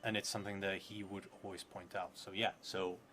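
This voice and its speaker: Low-voiced, musing man, noisy recording. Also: little pitch movement, a little fast, sharp tone. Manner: hesitant, musing, trailing off. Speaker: solo tabletop roleplay player and streamer. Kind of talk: improvised storytelling, thinking aloud. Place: indoors at a table in a theatre room. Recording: clearly noisy room recording.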